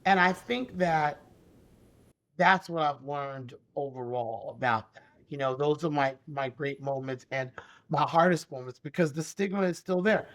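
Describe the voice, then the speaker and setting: A woman in her forties with a deep, raspy voice, telling her story as a podcast guest in an animated, emphatic way and repeating key phrases. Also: measured pace. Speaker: podcast guest, special education advocate. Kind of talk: conversational interview storytelling.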